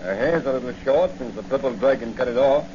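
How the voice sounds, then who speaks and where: An actor playing a cool, cynical racketeer who gives curt orders, in 1940s radio style. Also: male voice, medium pitch, fairly quick, close to an everyday voice. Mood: sardonic. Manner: curt, sardonic. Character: cool cynical male racketeer giving orders, coldly taunting. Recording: muffled noisy 1940 radio recording.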